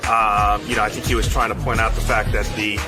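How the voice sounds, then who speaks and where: A man's voice: fairly low pitch, flat delivery, brisk. A man giving a casual, conversational recap. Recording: voice over prominent music. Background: music.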